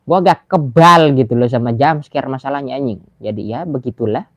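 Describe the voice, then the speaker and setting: A man's voice: medium pitch, fast, fairly bright tone, dull audio. A young Indonesian man chatting casually on a game live stream, in slangy speech peppered with mild swearing.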